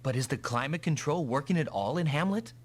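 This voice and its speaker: Level-headed mech pilot, expressive delivery. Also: male voice, on the high side, a little fast. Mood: calm, matter-of-fact. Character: male mech pilot, calm, level-headed, determined soldier. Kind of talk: anime-style English video game dub.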